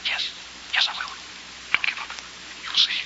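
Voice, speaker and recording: A man's voice: normal speed, lightly acted. An actor playing a terrified dying man, breathless and anguished as he pleads for his life in radio melodrama style. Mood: desperate pleading. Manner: breathless, pleading, anguished, swinging from whisper to cry. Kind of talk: old-time radio melodrama acting. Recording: noisy muffled vintage radio recording.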